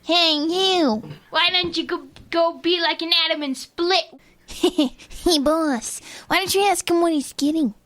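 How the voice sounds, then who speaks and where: A woman's voice: very high-pitched, a little slow, a bit bright. A woman voicing a cheeky, eager little weaselly sidekick who taunts people in a comedy western radio play. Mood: taunting. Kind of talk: comedy radio theater acting.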